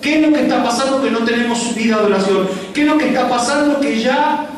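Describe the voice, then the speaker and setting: A man's voice: extremely high pitch, quick pace, slight background noise. A man preaching a fiery sermon, firing rhetorical questions and repeating lines for emphasis. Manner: rhetorical questions, shouting and repetition. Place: large church hall with congregation. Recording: echoey hall with distant mic and crowd.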